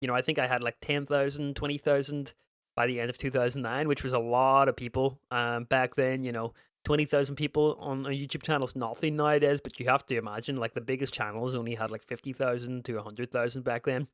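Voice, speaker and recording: A man's voice: medium pitch, steady tone, fast, dry recording. Northern Irish man, rambling gaming vlogger. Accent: Northern Irish with a slight American twang. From Northern Ireland. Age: 30s. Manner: meandering and reminiscent, frequent restarts. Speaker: gaming YouTuber. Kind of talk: casual gameplay commentary.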